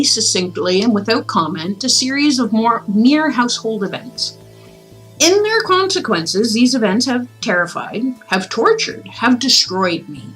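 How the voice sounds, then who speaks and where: A condemned, haunted storybook narrator, voiced by a woman gives a solemn gothic confession. The delivery is dramatic and deliberate, lifted above everyday pitch, with music underneath. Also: fairly bright tone, poor audio. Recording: music playing behind the voice.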